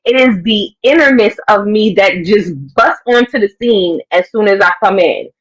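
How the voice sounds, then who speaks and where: A woman podcast co-host with a raspy voice, talking in an expressive, emphatic way in casual chat. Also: medium pitch, normal speed, poor audio.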